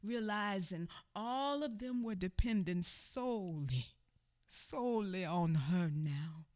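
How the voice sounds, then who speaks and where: Slow, solemn woman narrator. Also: mid-range voice, lively intonation, darkish tone, dry recording, studio quality. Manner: measured, solemn storytelling. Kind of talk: scripted narration in a radio theatre play.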